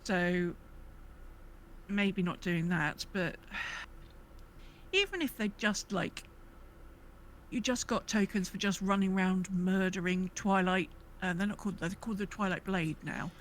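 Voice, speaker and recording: A woman's voice: mid-range voice, steady tone, measured pace, slight background noise. A woman co-hosting a podcast in casual gaming chat, thinking aloud in a hesitant, rambling way. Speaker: podcast co-host.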